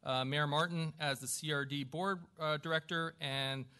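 A man speaking as mayor at a council meeting, running through formal procedure in a halting, self-deprecating way with informal asides. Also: fairly high pitch, fairly even intonation, pushed and bright, clean tone. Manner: halting, self-deprecating, stumbling over names. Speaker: newly elected mayor chairing a council meeting. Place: council chamber with a small audience.

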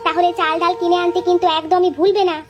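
An actress playing a practical village farmer's wife in a cartoon, urging and insistent. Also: female voice, very high-pitched, level tone, quick pace, muffled recording. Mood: encouraging. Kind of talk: cartoon voice acting. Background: background music.

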